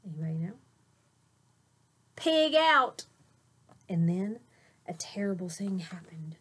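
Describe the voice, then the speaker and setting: A woman's voice: fairly low pitch, very animated, slow, fairly bright tone, slight background noise. A woman reading a children's picture book aloud like a teacher, with dramatic delivery and chatty asides to young listeners.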